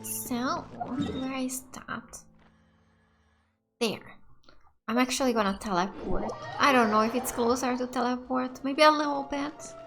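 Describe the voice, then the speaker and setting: A woman's voice: high voice, measured pace, a bit bright. A woman doing casual let's play commentary, playfully thinking aloud as she goes. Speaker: gaming video host. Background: game music.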